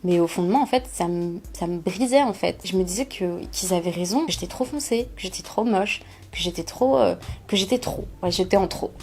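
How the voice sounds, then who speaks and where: A young woman giving a personal testimony in an interview, speaking softly and hesitantly with many pauses and fillers. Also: on the low side.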